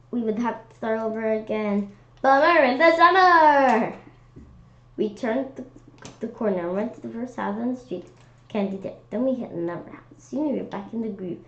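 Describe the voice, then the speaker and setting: A woman reading a children's story aloud in an expressive, animated way, occasionally stumbling over words. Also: a little high. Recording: dull lo-fi sound with clear background noise.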